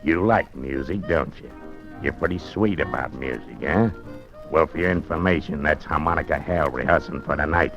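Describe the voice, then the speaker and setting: An actor playing a menacing male gangster with a croaking low voice, in 1940s radio acting. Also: moderate pace. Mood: gloating. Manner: bullying, sneering orders. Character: gravel-voiced male gangster called Froggy, menacing and sneering. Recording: muffled old radio broadcast with music.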